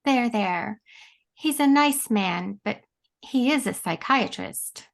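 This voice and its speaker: Woman playing a creaky, gentle, slow-talking patient. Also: a little high, lively intonation, heavily acted. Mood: soothing. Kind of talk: comic stage play reading.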